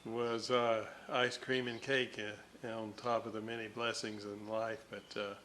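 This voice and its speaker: An older man giving casual, halting, folksy narration as a hobbyist at his workbench. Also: fairly low pitch, little pitch movement, pushed and bright, a little hiss or hum. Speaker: radio restoration hobbyist and host.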